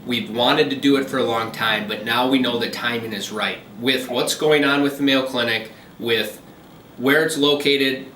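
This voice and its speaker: A man speaking as a commercial realtor, with a bright, forceful voice. He explains deals in a steady, businesslike way with frequent ums. The sound is distant and echoey, with faint noise behind him. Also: medium pitch, moderate pace. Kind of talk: phone interview.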